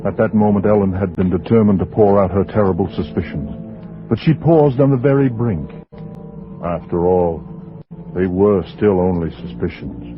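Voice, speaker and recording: A man narrating a 1940s radio suspense tale as a grave, measured, dramatic storyteller. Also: sits low, over music, natural voice. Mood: grave, suspenseful. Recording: muffled, noisy 1940s radio recording.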